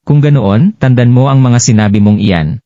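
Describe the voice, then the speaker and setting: A man's voice: mid-range voice, moderate pace, warm tone, no echo, natural voice. A Filipino man voicing a sly, eager young husband in a narrated story, confident and teasing. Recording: dull lo-fi sound.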